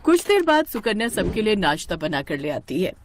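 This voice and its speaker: A woman narrating a scripted story in an expressive storytelling style. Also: mid-range voice, moderate pace, noisy background.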